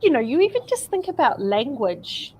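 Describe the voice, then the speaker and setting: A woman's voice: high voice, very animated, normal speed, dark tone, a little hiss or hum, low-quality recording. Enthusiastic woman guest, conversational. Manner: enthusiastic, uses "you know" and "right?". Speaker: guest speaker. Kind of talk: casual interview chat.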